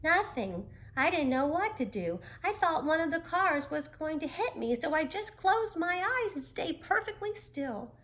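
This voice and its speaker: A woman's voice: high voice, very animated, unhurried, hardly any pauses, fairly bright tone, voice pushed up, heavily acted. A woman voicing Timmy, a small, frightened young male turtle in a children's story who tells what happened to him. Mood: scared.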